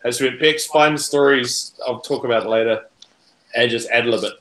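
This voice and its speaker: A man from New Zealand with a loud voice, chatting as a guest on an online call. He talks casually, full of jokes and self-mocking asides. His voice rings out in a roomy space. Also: medium pitch, steady tone, brisk. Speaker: podcast guest. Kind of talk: casual chat. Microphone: USB mic, online call.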